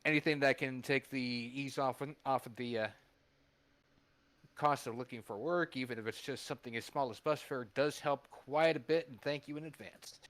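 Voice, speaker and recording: A man's voice: mid-range voice, normal speed, fairly bright tone. A man talking casually as a panel member, with a wry, sardonic manner and some swearing. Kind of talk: casual chat.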